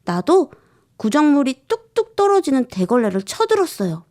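A young schoolboy, played by a woman, tells his own story with a sense of grievance. The voice is high and very animated, plaintive and expressive in storybook style. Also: normal speed. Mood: aggrieved storytelling.

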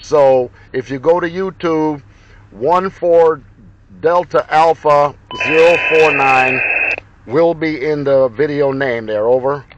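A man from Ohio, a mobile radio operator in his car, talking in crisp radio procedure and spelling out call signs. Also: fairly high pitch, slow pace, highs cut off, heavy background noise. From Ohio, USA. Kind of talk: radio contact exchange. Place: inside a car. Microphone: handheld radio mic.

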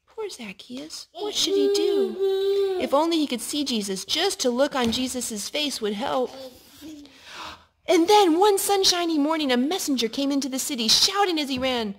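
A woman reading a picture book aloud to a child in an expressive way, pausing to ask questions. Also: sits high, a crowd in the background. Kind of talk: storytime read-aloud. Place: living room indoors at a couch.

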